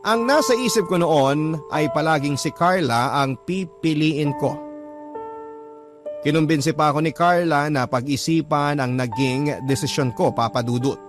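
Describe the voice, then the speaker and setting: A man voices a young man recounting his love story, in a slightly high voice. He narrates in a calm, reflective way while clear music plays under him. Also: unhurried, natural voice. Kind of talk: first-person scripted narration. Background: clear background music.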